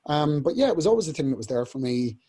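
Young male rock singer, mellow husky speaking voice, casual, rambling. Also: on the high side, big pitch swings, fast. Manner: rambling, many fillers and restarts, clears throat often. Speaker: band singer, interview guest. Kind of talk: casual storytelling interview.

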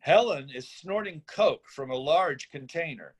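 A man's voice: fairly high pitch, animated, unhurried, husky. A man reading out stage directions and scene recaps as scripted narration for a screenplay reading.

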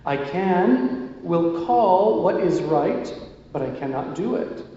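A man reading scripture aloud in a church service, in a measured liturgical way. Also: on the high side, mellow. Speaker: music director reading scripture. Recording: echoey church hall, muffled.

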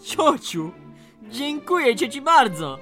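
A woman playing a bright, excitable, flustered bachelor nephew. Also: sits high, slow, faint music behind, exaggerated voice. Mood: indignant, flustered. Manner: stammering, whiny, excitable. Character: flustered, indignant thirty-year-old bachelor nephew. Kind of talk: radio comedy drama acting.

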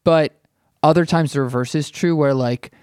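A man's voice: a little high, normal speed, darkish tone, smooth, dry recording, studio quality. A man talking as a critic in a casual panel discussion, opinionated and emphatic. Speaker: podcast panelist, art critic.

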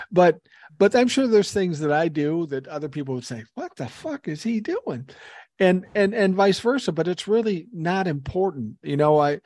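A man's voice: on the high side, darkish tone, no room sound, studio quality. A man in his sixties, a podcast guest on a video call, talking bluntly and emphatically with lots of swearing. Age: 60s. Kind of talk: casual chat over a video call.

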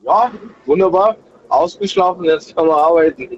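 A man's voice: a little high, moderate pace, darkish tone. A man born in Kazakhstan calling in to a radio show, upbeat and joking with the host. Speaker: caller. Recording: dull phone line.

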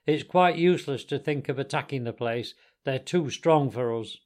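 A loud, dry-voiced old badger from a storybook, acted by a man, stern and used to being obeyed. He speaks with firm, measured authority and gruff kindness. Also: mid-range voice, close to an everyday voice. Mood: gruffly kind. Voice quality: thin, dry. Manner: severe, authoritative, measured scolding. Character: stern, commanding elder badger, gruffly kind.

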